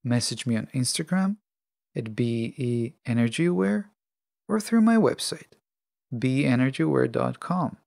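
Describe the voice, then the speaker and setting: Man, creaky low-crackle voice, deliberate phrasing, solo podcast monologue, dry close recording. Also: animated, darkish tone, dry recording. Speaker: podcast host.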